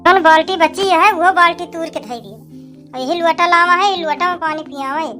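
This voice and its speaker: A woman voicing a nagging, complaining young village wife in an Awadhi cartoon comedy, with a shrill voice and an Awadhi accent. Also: a little fast, bright, forceful tone, faint music behind. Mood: angry scolding. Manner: complaining, scolding.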